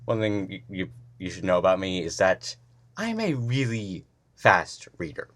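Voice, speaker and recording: A man with a lowish voice giving relaxed commentary after a story reading. He rambles, stumbles over words and restarts his sentences. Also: unhurried. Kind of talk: casual commentary.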